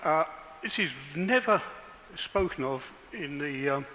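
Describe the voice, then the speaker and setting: A man in the audience asking a hesitant question, halting with many fillers. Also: a little high, lively intonation, pushed and bright, big-room sound, a little hiss or hum.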